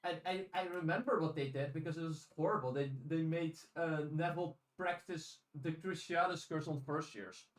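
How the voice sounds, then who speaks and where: Echoey, higher-pitched man chatting casually. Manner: halting, restarts phrases, swears casually. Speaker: podcast co-host. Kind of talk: casual discussion of audiobooks and fiction. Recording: roomy echo, distant from microphone.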